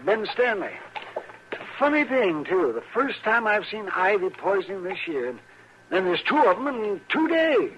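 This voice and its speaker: A crusty American frontier doctor in a highish, animated voice. He needles and teases in a folksy way, in old-time radio acting on a dull vintage recording. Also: moderate pace, slightly rough, exaggerated voice. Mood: amused. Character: crusty, chuckling, teasing male frontier town doctor.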